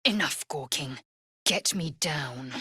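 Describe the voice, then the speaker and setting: Husky, imperious alien warrior woman. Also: sits low, animated, slow pace, pushed and bright, dry recording, studio quality, full character voice. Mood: scornful, curt. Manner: imperious, clipped, formal, scornful. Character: proud, fierce, zealous female githyanki alien warrior. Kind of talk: video game character acting.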